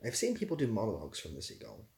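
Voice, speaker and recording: A man with a fairly low voice chats informally on a livestream. He rambles as he replies to comments, often hesitating to find the right word. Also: huge pitch swings, racing, a little mellow, a little hiss or hum. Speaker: ASMR creator hosting a livestream; theatre assistant producer. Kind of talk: casual livestream chat, answering viewer comments.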